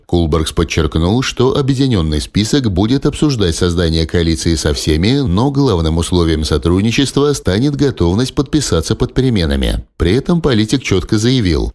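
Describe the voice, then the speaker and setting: A man reading scripted news bulletins in a formal, measured way. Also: deep voice, darkish tone. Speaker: newsreader.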